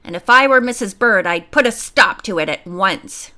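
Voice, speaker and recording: A woman voicing Mrs Salisbury, a nosy and disapproving older woman with a sharp way of speaking. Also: mid-range voice, expressive, moderate pace, voice pushed down.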